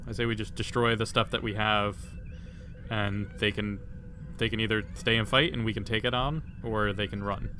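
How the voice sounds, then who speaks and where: A man's voice: fairly low pitch, fairly even intonation, moderate pace, bright, forceful tone. A man chatting casually as a player in a tabletop role-playing game. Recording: clear background noise.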